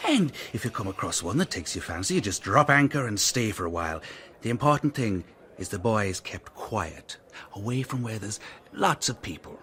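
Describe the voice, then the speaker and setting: An actor playing a commanding fixer for powerful men in a radio drama, blunt and coaxing by turns, with menace underneath. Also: male voice, lowish voice, very animated, moderate pace, a bit bright. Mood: smoothly persuasive.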